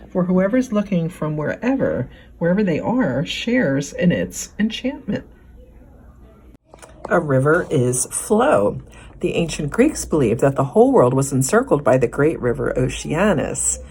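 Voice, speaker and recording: A woman reading a picture book aloud as a children's librarian, phrasing it expressively and deliberately for young listeners. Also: low voice, a little mellow, husky.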